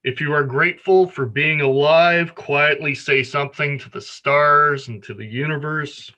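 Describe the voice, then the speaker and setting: A man reading a gentle children's story aloud with deliberate phrasing. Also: a little high. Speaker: storyteller at a worship service.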